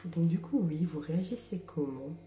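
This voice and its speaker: A woman's voice: deep voice, moderate pace, mellow, echoey room, highs cut off. A young woman from metropolitan France talking ironically to camera, laughing often and dropping into whispered asides. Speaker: social media creator. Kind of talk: casual monologue to camera.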